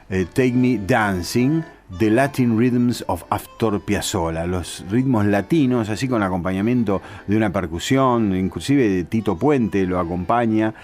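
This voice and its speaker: Argentine man hosting over soft background music. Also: on the low side. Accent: Argentine Spanish. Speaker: radio host and music programmer. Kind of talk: music programme commentary.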